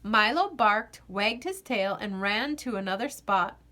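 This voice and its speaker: A woman reading a children's picture book aloud as an expressive narrator, with deliberate phrasing and dramatic pauses. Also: medium pitch. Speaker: children's storybook reader.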